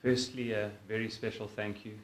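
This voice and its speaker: A man's voice: on the low side, flat delivery, normal speed, a little hiss or hum. A man with a South African accent giving a formal convention speech from a podium, thanking guests with informal asides and hesitations. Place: synagogue hall. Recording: echoey hall with faint ambience.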